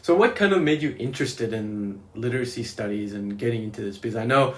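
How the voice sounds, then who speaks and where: A man's voice: medium pitch, fast talker, fairly bright tone. A young man hosting a student podcast, asking questions in a hesitant way with lots of "kind of" and "like". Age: young adult. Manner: hesitant, many "kind of" and "like" fillers. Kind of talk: casual interview hosting. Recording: echoey room.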